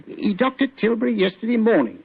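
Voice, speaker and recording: Gravelly Cockney police inspector. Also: male voice, high-pitched, very animated, fairly quick, heavily acted. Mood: animated, confiding. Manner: colloquial, ungrammatical, deferential. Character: chatty working-class London police inspector. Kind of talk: 1940s radio acting. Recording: tinny, echoey old radio recording.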